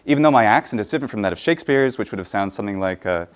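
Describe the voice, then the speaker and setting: A man lectures to a room at a conference. He thinks aloud as he talks, pausing on fillers and starting phrases over. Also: lowish voice, lively intonation, clean tone. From United States. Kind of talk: conference talk.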